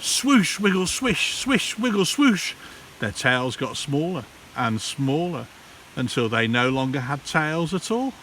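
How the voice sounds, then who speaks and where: A man reads a storybook to children in a slow, theatrical voice that swings widely in pitch. He pauses for drama like a practised primary teacher. The recording is distant from the mic, with some hiss. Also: big pitch swings, fairly bright tone. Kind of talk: expressive children's storybook read-aloud. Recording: distant mic, hissy background noise.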